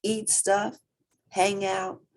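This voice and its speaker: A woman's voice: mid-range voice, level tone, very slow. A woman voicing a laid-back, glum male bat in a children's storybook, hesitant and vague. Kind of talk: children's storybook read-aloud.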